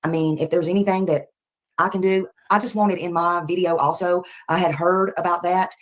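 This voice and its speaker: A woman with a Southern US accent and a distinctly low voice. She chats casually as a livestream host, rambling in a folksy, polite manner as she addresses her viewers. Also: fairly even intonation, normal speed. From Nashville, United States.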